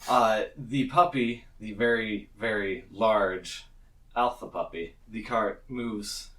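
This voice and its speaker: A man's voice: lowish voice, slow, a bit bright. A man running a tabletop game as game master, improvising narration in an informal way and laughing easily. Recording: distant microphone.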